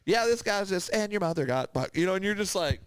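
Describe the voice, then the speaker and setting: A man's voice: sits high, fast. A man telling casual anecdotes as a podcast host, animatedly acting out what people said and swearing freely. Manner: animated retelling with acted-out quotes, swearing. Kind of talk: casual chat, anecdotal storytelling.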